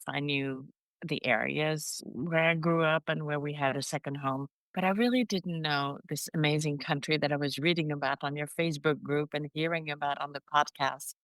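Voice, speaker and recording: A woman in her fifties from France, a guest telling of her trip in a measured, grateful way. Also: low-pitched, a bit bright, no echo. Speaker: podcast guest. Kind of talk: conversational interview.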